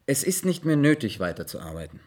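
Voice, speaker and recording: A man's voice: mid-range voice, very animated, fairly quick, darkish tone. An actor playing an earnest, methodical male investigator in a German radio play, speaking formally, precisely and insistently. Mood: guarded, formal.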